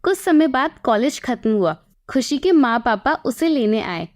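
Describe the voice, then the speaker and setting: A woman with a fairly high-pitched voice narrates a scripted moral story. She speaks as the storyteller, telling the tale in the third person. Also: sing-song, measured pace.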